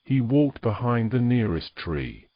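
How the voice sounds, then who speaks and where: Male narrator, unhurried pace, fantasy storytelling, scripted, dry close recording, slightly muffled. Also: mid-range voice, big pitch swings, no room sound.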